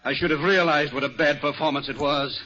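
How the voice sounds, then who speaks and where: A haughty rich man, scornful and cutting. His tone is bright and forward. It is heard through a muffled old radio broadcast. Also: on the high side, steady tone, a little fast, a little hiss or hum. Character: arrogant rich man accusing his valet. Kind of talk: 1940s radio acting.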